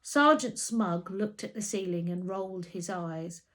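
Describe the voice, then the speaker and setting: A woman reading a children's story aloud as an expressive narrator. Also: fairly low pitch, unhurried.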